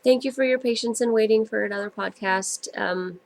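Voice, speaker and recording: A woman's voice: on the high side, brisk, gravelly. A woman in her thirties from the United States, hosting her own podcast in a casual, rambling way with lots of ums, her nose stuffed up from a cold. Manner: rambling, many ums and self-corrections. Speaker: podcast host. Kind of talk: casual solo podcast chat.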